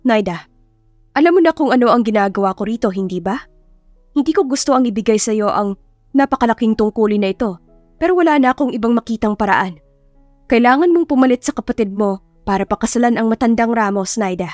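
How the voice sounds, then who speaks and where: A woman's voice: a little high, lively intonation, a little fast, darkish tone, no echo, faint music behind, natural voice. A woman voicing a stern middle-aged mother in an audiobook drama, firm, reproachful and pressing as she persuades. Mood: guilt-tripping. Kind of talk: audiobook character acting.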